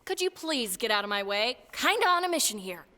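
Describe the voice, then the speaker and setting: A woman's voice: fairly high pitch, very animated, brisk, noisy background. A woman voicing a feisty, impatient raccoon vigilante in an audio drama, sarcastic and brusque. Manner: snappy, sarcastic. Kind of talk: audio drama cartoon-like acting.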